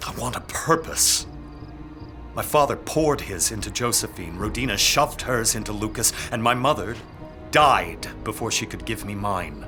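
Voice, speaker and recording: A man's voice: on the low side, lively intonation, normal speed, music in the background, natural voice. A man playing a brooding, dutiful aristocratic heir in a period audio drama, speaking formally and earnestly.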